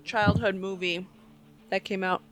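Woman w average pitched voice, casual podcast chat, telling stories informally. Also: little pitch movement, unhurried. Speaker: podcast co-host. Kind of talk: casual personal storytelling.

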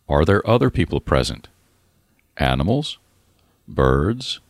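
A man narrating a scripted guided relaxation in a calm, soothing, deliberate manner with pauses. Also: lowish voice, no echo, clean studio sound.

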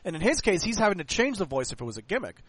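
A man's voice: high-pitched, big pitch swings, racing, a bit bright. A man hosting a casual podcast chat, joking and crude with his cohosts. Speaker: podcast host.

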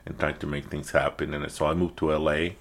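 A man from Mexico, a native Spanish speaker with a Mexican accent, telling stories in an unhurried casual chat, with frequent fillers and restarts. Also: rumbling low, little pitch movement, a little hiss or hum. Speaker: comedian guest.

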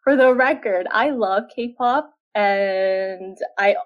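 A woman's voice: medium pitch, slow pace, dull audio. Young woman chatting casually as host, lots of you-knows and likes, korean native. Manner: chatty, many fillers and laughs. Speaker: podcast host. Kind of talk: casual conversation.

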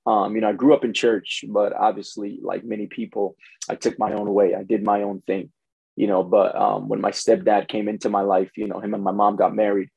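A man's voice: fairly low pitch, flat delivery, fairly quick, vocal fry, darkish tone, husky, dry recording, rough recording. A man from Ghana who grew up in the United States, speaking as a pastor and guest in a conversational interview, often saying "you know". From born in Ghana, grew up in the United States from preteens.

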